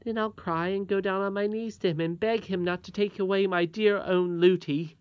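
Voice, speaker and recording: A woman's voice: medium pitch, steady tone, moderate pace, clean tone, no echo, highs cut off, pitched above the performer's own voice, lightly acted. A woman reading a storybook aloud, voicing an earnest, curious little princess with a childlike, pleading manner.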